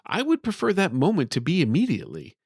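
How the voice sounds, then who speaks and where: A man's voice: a little high, wildly swooping pitch, quick pace, darkish tone, husky, dry recording, voice pushed up, exaggerated voice. Young swordsman nephew , read by a male narrator, suspicious and demanding, fantasy audiobook, dry close sound. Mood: wary, demanding.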